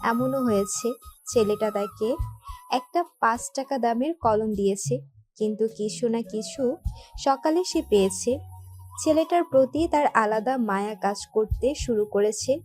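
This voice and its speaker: Higher-pitched woman narrating over music. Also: unhurried, a little mellow, husky. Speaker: story narrator. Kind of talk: scripted romantic story narration. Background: background music.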